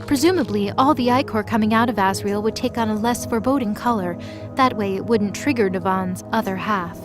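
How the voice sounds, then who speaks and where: A woman's voice: medium pitch, fairly quick, music in the background. A woman giving scripted, dramatic narration as the storyteller of an audio drama.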